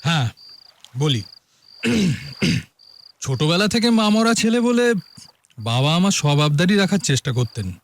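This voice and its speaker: An actor playing a proud hunter and host who relishes his tales, in measured, suspenseful audio drama storytelling. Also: fairly high pitch, animated. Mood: suspenseful, boastful. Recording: music behind, chirping crickets.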